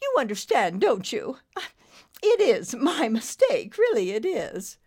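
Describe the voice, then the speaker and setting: A woman's voice: a little high, huge pitch swings, takes it slowly, a little mellow, husky, pitched above the performer's own voice, exaggerated voice. A woman voicing a stately, theatrical lady snake with a posh British accent and a gushing, melodramatic manner in a story. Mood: rueful. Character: stately, theatrical lady snake, flustered, charming and self-pitying.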